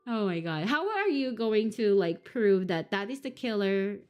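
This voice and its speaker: A woman's voice: mid-range voice, sing-song, measured pace, lo-fi sound, soft background music. A young woman streaming a visual novel, reading it aloud and chatting casually with frequent fillers. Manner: rambling, giggly, lots of fillers.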